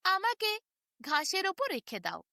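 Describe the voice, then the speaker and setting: A woman's voice: very high-pitched, huge pitch swings, a little slow, vocal fry, pushed and bright, dry recording, studio quality, pitched above the performer's own voice, heavily acted. A woman voicing a helpful talking object found by the road in a storybook tale, inquisitive and coaxing. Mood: instructing.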